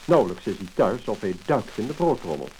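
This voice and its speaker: A man with a Netherlands Dutch accent reading a scripted 1950s narration in a measured, formal manner. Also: on the low side, very animated, dark tone. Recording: crackly, hissing mid-century disc recording.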